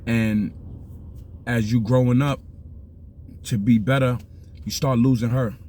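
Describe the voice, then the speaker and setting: A middle-aged man with a Bronx accent talks in a loose, rambling street style and keeps checking that you follow him. His voice is mellow and dark. There is a faint hiss underneath. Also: medium pitch, fairly even intonation, a little slow. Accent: New York (Bronx). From South Bronx, New York. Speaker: vlogger giving life advice. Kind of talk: casual street talk.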